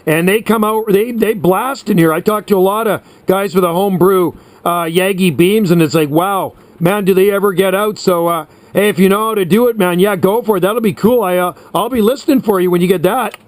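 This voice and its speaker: A man's voice: sits high, a little fast, hardly any pauses, dry recording. A man chatting casually and enthusiastically as a CB radio operator, encouraging the other operator. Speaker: CB radio operator, video host. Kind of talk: casual chat over CB radio.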